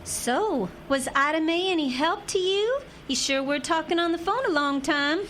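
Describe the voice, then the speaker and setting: A feisty young Southern bride played by a woman with a high voice and a proud, teasing streak. She talks in folksy turns of phrase, in radio-drama style over faint background noise. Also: expressive, moderate pace. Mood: sweet.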